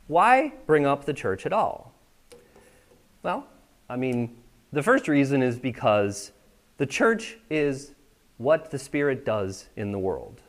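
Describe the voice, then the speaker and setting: A man's voice: medium pitch, very animated, slow pace, pauses often. A man preaching a sermon in a church, talking with the congregation in a conversational way and often checking that they are following. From United States. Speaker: pastor. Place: church sanctuary.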